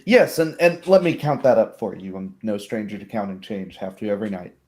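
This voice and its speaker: A man's voice: fairly low pitch, fairly quick, darkish tone, lightly acted. A man voicing a wry, kindly woman barkeep in a tabletop game, talking in a folksy, easygoing way. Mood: friendly, modest.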